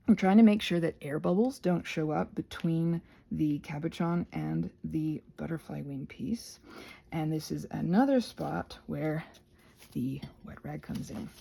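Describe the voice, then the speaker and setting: A woman with a mellow, husky voice and plenty of fry talks through a hands-on craft tutorial. She is informal and digressive, stopping and restarting as she goes. Her asides are playful and poke fun at herself. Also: fairly low pitch. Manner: playful, self-deprecating asides. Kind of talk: casual rambling craft tutorial.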